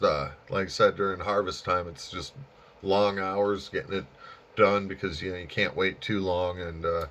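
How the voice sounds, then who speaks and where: An American man chatting casually and easygoingly over ham radio, rambling along with drawn-out pauses. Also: on the low side, a bit bright. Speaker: amateur radio operator and channel host. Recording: dull, lo-fi sound.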